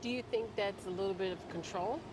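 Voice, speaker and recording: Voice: mid-range voice, fairly quick. Conversational host, chiming in often, recorded outdoors with street noise. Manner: agreeable, chiming in with short affirmations. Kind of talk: casual conversation.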